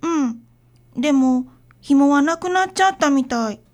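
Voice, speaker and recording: Radio drama child: timid, sorrowful young girl with a tiny high-pitched voice. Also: expressive, a little slow. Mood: sad, hesitant. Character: sad, shy little primary-school girl.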